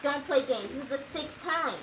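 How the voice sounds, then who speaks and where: Young woman as an exasperated high-voiced sheriff, echoey stage. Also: moderate pace, lightly acted. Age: teen. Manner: stern, exasperated, insistent. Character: exasperated, stern small-town sheriff. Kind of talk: high school stage comedy acting. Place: school theatre stage. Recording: echoey noisy school stage.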